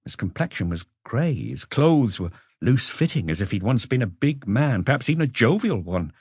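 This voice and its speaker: A man reading a literary story as an audiobook narrator, with expressive scripted delivery. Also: medium pitch, normal speed, slightly rough, dry recording, studio quality.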